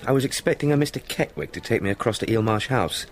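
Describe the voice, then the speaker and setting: An actor playing an eager, courteous young London solicitor in a radio drama, chatty and well-spoken with an English accent. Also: male voice, lowish voice, expressive, fast, a little hiss or hum. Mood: impatient.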